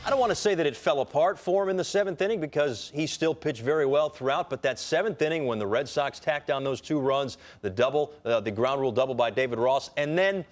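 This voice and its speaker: An American man hosts a postgame sports show. He walks through highlights and leads the panel's discussion. Music plays clearly behind his voice. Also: fairly quick, hardly any pauses. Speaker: sports studio show host.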